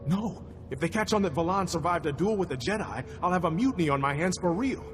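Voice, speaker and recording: An actor playing a gruff, weary and practical military commander in a video game, brisk and blunt. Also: male voice, high-pitched, animated, big-room sound, over music. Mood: anxious. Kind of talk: video game cutscene acting.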